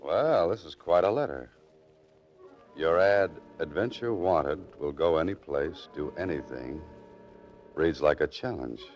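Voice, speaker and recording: A man's voice: very deep voice, expressive, measured pace, over music. A man playing a wry, adventurous writer-sleuth with understated delivery in 1940s radio acting style. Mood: wry, musing. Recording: muffled lo-fi 1940s radio recording.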